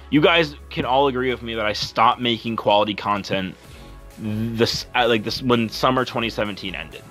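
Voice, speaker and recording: A young man with a deep voice talking over gameplay in a rambling, earnest, apologetic way as a content creator. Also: pushed and bright. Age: young adult. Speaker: YouTuber and streamer.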